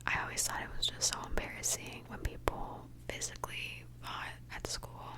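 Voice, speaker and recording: A young woman from Mexico, an ASMR creator, whispering a candid, chatty question-and-answer session. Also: normal speed.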